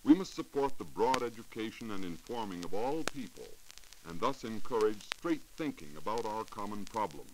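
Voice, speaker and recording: Low-voiced American man, animated vintage radio report. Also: low-quality recording. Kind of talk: scripted 1940s radio science report. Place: radio studio. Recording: hissy 1940s radio recording.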